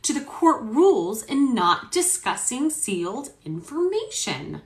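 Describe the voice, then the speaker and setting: A woman's voice: a little high, sing-song, measured pace, voice pushed up. A woman reading the part of an indignant male attorney arguing in court, formal, emphatic and self-righteous. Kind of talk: dramatic reading of court argument. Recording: roomy echo.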